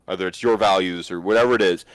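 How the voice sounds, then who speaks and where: A man chatting casually on a hobby stream, animated and swearing freely as he acts out what other people say. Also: low voice, fast talker, clear, resonant tone, no room sound, poor audio.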